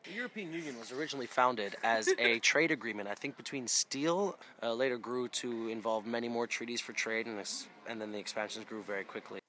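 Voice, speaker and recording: A man's voice: medium pitch, fairly quick, few pauses, sharp tone, a little hiss or hum. A man from Portugal, a tour guide, talking frankly and casually in an interview. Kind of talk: street interview.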